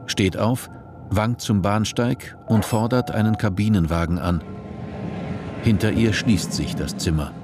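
An actor as the narrator of a dystopian radio play, reading scripted prose in a measured, deliberate way. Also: male voice, deep voice, lightly acted. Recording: noisy vehicle-like ambience behind.

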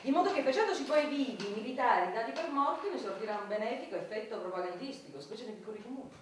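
A woman's voice: on the high side, lively intonation, very fast, natural voice. An actress playing a calculating, businesslike woman on a war council in a satirical radio play. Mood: brisk, scheming. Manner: formal, businesslike. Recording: very echoey room, distant mic, faint noise.

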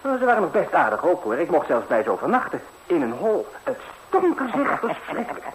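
A carefree young fairy-tale hero on vintage radio, chatting breezily and eagerly. The voice is fairly high and very animated, with faint noise on the old recording. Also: male voice, a little fast. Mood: chatty, eager. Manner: breezy, colloquial, eager storytelling. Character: cheerful, fearless, naive young lad, lucky fairy-tale hero. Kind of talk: 1960s Dutch radio fairy-tale acting. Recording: slightly noisy old 1960s radio recording.

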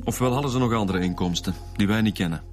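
An actor playing a cautious, conciliatory detective in a radio drama, reasoning with some hesitation in a Flemish accent. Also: male voice, fairly low pitch, quick pace, lightly acted. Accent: Flemish Belgian. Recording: music behind the voice.

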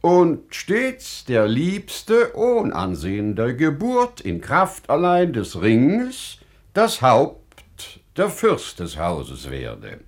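An actor playing a wise, humble storyteller in a classical German radio play, speaking with measured, weighty phrasing. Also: male voice, mid-range voice, wildly swooping pitch, full character voice. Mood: solemn narrating. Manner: measured, deliberate, dramatic phrasing with weighty pauses. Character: wise, humble storyteller addressing a sultan with a parable.

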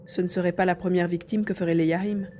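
A woman's voice: on the low side, steady tone, fast, dark tone, slight background noise, natural voice. A woman playing an adventure heroine who questions her partner during a search in an audio drama. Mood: questioning, concerned. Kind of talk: French audio drama acting.

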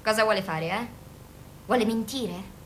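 A woman's voice: mid-range voice, unhurried, a bit bright, slight background noise, lightly acted, low-quality recording. A woman acting in an Italian radio drama as a bitter, volatile young female patient, defiant and sarcastic, who swears and confronts. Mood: bitter sarcasm. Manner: sarcastic, confrontational, foul-mouthed.

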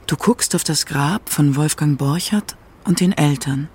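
A man's voice: on the high side, measured pace, darkish tone, a little hiss or hum. A man narrating a scripted radio documentary as its feature narrator. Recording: music under the voice. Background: music.

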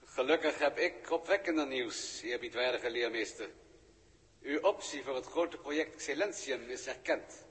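A deferential alien registrar, played by a man, addresses his master with formal politeness. His voice sits at a middle pitch and trails off into a long reverb. It sounds like an early 1960s radio drama. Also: moderate pace, fairly bright tone, close to an everyday voice. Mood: polite.

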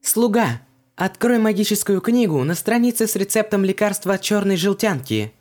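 A man voicing an excitable, bossy and squeamish young woman in an audiobook. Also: on the high side, normal speed, voice pushed up, lightly acted. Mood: excited, commanding. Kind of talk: audiobook character voice.

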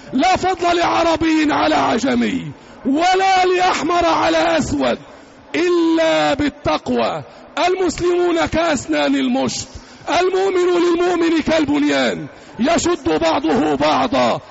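A man delivering a religious sermon as loud, emphatic oratory, declaiming in short repeated phrases. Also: extremely high pitch, gravelly. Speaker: preacher delivering an Eid sermon. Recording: dull lo-fi sound, faint background noise.

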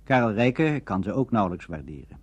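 Husky, animated male documentary narrator. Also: lowish voice, fairly quick, no room sound, dull audio. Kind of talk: scripted radio documentary narration.

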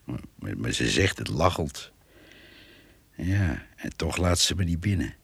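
An actor playing a grumbling, chatty older countryman in a radio play, gravelly, muttering and folksy. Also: a bass voice, sing-song, measured pace, fairly bright tone, no echo, full character voice. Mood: musing. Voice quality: gravelly, husky. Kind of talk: Dutch radio play acting.

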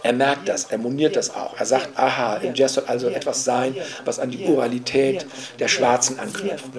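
A man's voice: mid-range voice, moderate pace, crowd noise. A man speaking off the cuff in an interview, hesitant and breaking off mid-sentence. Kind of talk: spontaneous spoken commentary.

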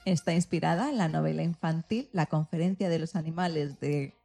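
A woman's voice: sits low, fairly quick, gravelly, warm tone. A woman with an accent from Spain co-hosting a radio programme, presenting from a script. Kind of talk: scripted magazine-show presenting.

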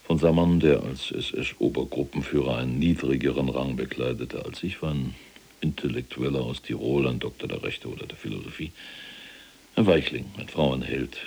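An actor playing a proud, self-justifying imprisoned SS general in a German radio drama, gravelly and husky, with a clipped, emphatic military delivery. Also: male voice, extremely low pitch, moderate pace, a little mellow, full character voice. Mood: proud recounting.

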